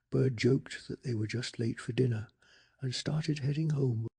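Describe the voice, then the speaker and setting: A man softly narrating a bedtime story in a calm, hushed ASMR style, telling it with deliberate drama. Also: on the high side. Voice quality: soft, breathy.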